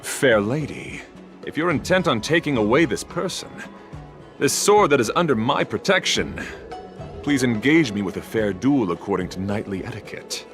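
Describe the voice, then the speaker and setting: A man's voice: medium pitch, animated, normal speed, music in the background, lightly acted. A man playing a chivalrous young knight in a video game, speaking in courtly, flowery, formal phrases with earnest devotion. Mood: solemn, formal. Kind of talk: theatrical video game voice acting.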